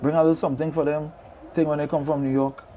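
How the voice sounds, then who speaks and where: A man's voice: fairly high pitch, level tone, fast, background noise. A man with a Trinidadian accent chatting casually as an interview guest, emphatic and repeating phrases to drive his points home. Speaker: guest, radio DJ and soca artist.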